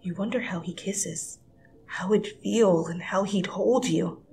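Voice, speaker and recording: A woman giving a dramatic second-person story reading, swinging from hushed whispers to breathless, excited rises. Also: medium pitch, a little slow, gravelly, a little hiss or hum. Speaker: fanfiction reader.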